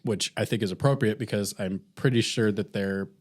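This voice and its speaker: A man co-hosting a podcast, giving his opinions casually in a halting, understated way. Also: lowish voice. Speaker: podcast co-host. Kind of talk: casual TV review chat.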